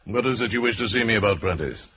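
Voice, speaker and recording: Man acting pompous executive crook, big pitch swings. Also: expressive, quick pace, natural voice. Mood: cold, impatient. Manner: pompous, condescending, imperious. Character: staid, self-possessed older male executive and secret thief. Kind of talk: old radio thriller acting. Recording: dull radio recording with music.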